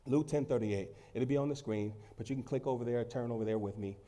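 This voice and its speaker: A man preaching a sermon, emphatic, swinging between loud peaks and quieter stretches and repeating phrases for weight. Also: mid-range voice, quick pace. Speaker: pastor.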